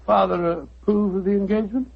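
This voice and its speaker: Genial elderly doctor narrator, high voice, old lo-fi radio. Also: male voice, fairly even intonation, fairly quick, gravelly, slightly rough, heavily acted. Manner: genial, fussy, a little flustered. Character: kindly older male doctor, storyteller and companion. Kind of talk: 1940s radio acting. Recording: dull old radio recording.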